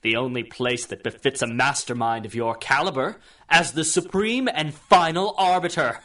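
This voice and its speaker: A man's voice: mid-range voice, normal speed, pushed and bright, lightly acted. A male actor plays a grandiose supervillain from a pulp radio serial. He sits in a middle register and speaks in florid, theatrical phrases, ingratiating and full of schemes. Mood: grandiose, scheming. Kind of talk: radio drama acting in 1930s pulp serial manner.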